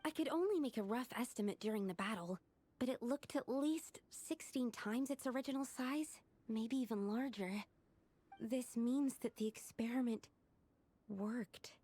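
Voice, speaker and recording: A woman's voice: a little high, measured pace, dark tone. A woman voicing a studious, earnest young female alchemist who explains things precisely in a video game. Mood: thoughtful.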